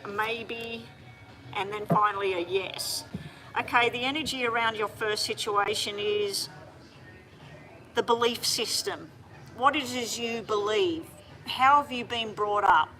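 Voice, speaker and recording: A woman doing a live-streamed tarot reading, talking straight to viewers in a chatty, hurried way. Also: mid-range voice, sharp tone, poor audio. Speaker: tarot reader.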